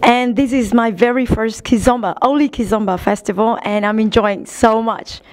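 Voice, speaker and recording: A woman's voice: a little high, fairly even intonation, moderate pace. A woman from Vietnam, a guest dancer, explaining her views in an earnest, emphatic way.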